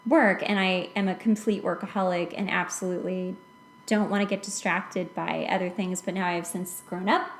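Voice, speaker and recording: A woman's voice: on the low side, steady tone, moderate pace. An American woman in her twenties chats casually about her own life with a self-deprecating manner. Her voice sits in an echoey room with faint noise behind it. She sounds like a podcast host in informal conversation. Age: late 20s. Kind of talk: casual personal storytelling in conversation.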